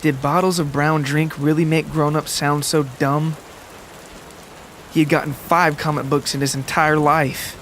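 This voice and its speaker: A man narrating a scripted literary story in a measured, deliberate way. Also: a little high, little pitch movement, fairly bright tone, slight background noise. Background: music and rain.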